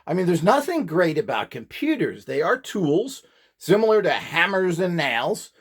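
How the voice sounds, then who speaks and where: A man's voice: high-pitched, sing-song, moderate pace, breathy edge. A man giving an informal, unscripted demonstration as an instructor, opinionated and dismissive in his way of talking.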